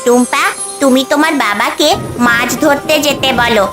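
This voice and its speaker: A kind, colourful magic bird, voiced by a woman in a cartoon tale. The voice is high and reassuring, giving instructions over background music. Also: normal speed, natural voice. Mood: purposeful. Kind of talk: Bengali cartoon story dubbing.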